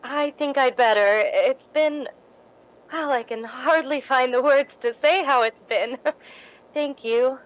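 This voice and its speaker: A woman's voice: sits high, slow pace, lo-fi sound. A woman acting an earnest, humble young theater devotee in a 1950s-style radio drama, with a modest, hesitant way of speaking. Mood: shy, grateful. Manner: modest, hesitant, wistful storytelling.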